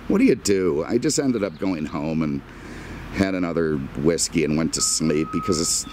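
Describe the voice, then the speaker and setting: A man's voice: very deep voice, expressive, normal speed, darkish tone, slight background noise. A middle-aged American man giving a rambling, casual car walkaround with wry, self-deprecating, exasperated humor and mild swearing. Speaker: car review vlogger.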